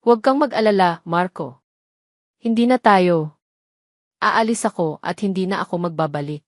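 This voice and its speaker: A woman's voice: on the low side, normal speed, dry recording, clean studio sound, lightly acted. A woman voicing a hurt but resolute young wife and mother in dramatic story dialogue, speaking earnestly. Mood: hurt, defiant.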